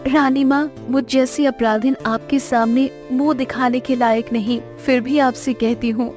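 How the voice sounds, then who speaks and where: A woman plays a humble village fisherwoman in a cartoon, full of remorse. Her voice is fairly high and pleading, over soft music. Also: steady tone, normal speed, close to an everyday voice. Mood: remorseful pleading. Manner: pleading, self-blaming. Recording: music under the voice.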